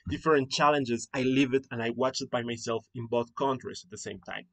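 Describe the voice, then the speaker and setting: A man's voice: medium pitch, a little fast, vocal fry, fairly bright tone. A man from Mexico with a Mexican Spanish accent, hosting a live online presentation as founder of an academy, earnest and repetitive with many fillers and rephrasings.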